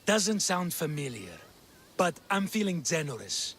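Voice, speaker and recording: An actor playing an arrogant, cruel slave master and cultist in a video game, haughty and dismissive. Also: male voice, a little high, very animated, a little slow, fairly bright tone, slight background noise. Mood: smug, menacing. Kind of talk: video game cutscene acting.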